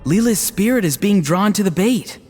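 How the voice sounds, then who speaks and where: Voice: mid-range voice, expressive, normal speed, in a large hall, lightly acted. An actor playing an easygoing, teasing young grandchild of a village elder in a video game.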